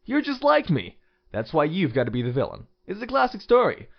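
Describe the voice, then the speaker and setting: Man playing a smug, animated scheming president. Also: high-pitched, brisk, vocal fry, full character voice. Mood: grandiose. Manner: theatrical, mock-friendly, condescending. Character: smug, scheming president villain posing as heroic saviour. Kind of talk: audio drama acting. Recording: dull sound under loud music.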